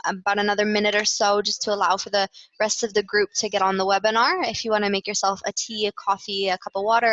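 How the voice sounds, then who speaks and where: A woman's voice: mid-range voice, a bit bright. A woman with a Canadian accent presenting a webinar product demonstration in an informal, explanatory way, with frequent hesitations. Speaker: client success manager presenting product demo. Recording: roomy, slightly dull webinar audio.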